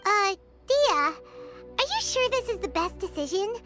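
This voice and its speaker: A woman voicing a tiny floating childlike female companion in a game, who hesitates and stammers when worried, over loud game music. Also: very high voice, big pitch swings, unhurried, exaggerated voice. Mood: worried, questioning. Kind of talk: game dialogue.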